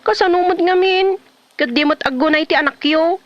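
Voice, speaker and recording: A woman's voice: extremely high pitch, moderate pace, no echo, muffled recording. A woman with a Filipino Ilocano accent playing a pregnant young wife in a comedy drama. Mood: nagging. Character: nagging pregnant young wife of a lazy husband.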